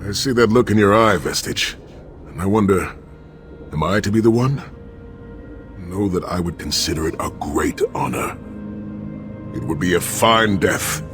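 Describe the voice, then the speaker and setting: An actor playing a noble, stoic warrior and sworn protector in a fantasy game, speaking in a solemn, measured way. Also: male voice, sits low, music in the background. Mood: solemn, tender.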